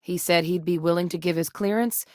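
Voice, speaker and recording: Actress as a low-voiced, principled woman soldier-scientist. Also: brisk, dry recording, clean studio sound, close to an everyday voice. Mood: focused, measured. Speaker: video game voice actress. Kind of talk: acted video game dialogue.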